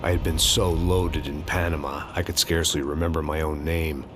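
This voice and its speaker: An actor playing a jaded, middle-aged antihero who narrates a video game in a bitter, brooding noir style. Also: male voice, a bass voice, little pitch movement, normal speed. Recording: loud music under narration.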